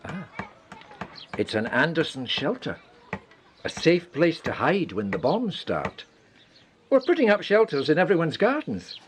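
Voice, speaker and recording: Radio drama, Scottish wartime country doctor, hearty and fatherly, very animated melody, measured pace. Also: fairly high pitch, a little hiss or hum. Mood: hearty, encouraging. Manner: hearty, avuncular, exclaiming. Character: kindly, brisk village doctor in wartime 1940s. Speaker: actor in a radio play. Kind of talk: radio play acting.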